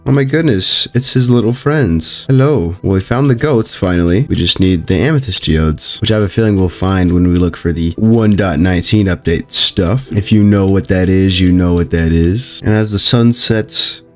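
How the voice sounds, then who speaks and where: A young man giving casual, joking commentary while playing a video game, with a calm voice and chatty asides to his viewers. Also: sits low, normal speed, few pauses, faint music behind. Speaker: gaming YouTuber.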